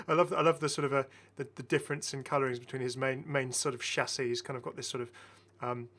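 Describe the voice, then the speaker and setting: A man's voice: medium pitch, steady tone, fairly quick, a bit bright. A man giving casual, enthusiastic game commentary as a chatty, rambling video host.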